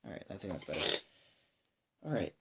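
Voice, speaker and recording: A man giving a casual, unscripted movie review, rambling and halting, repeating himself emphatically and sounding a bit tired. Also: deep voice, sing-song, gravelly, noisy background. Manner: sweary, emphatic. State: tired, sighing.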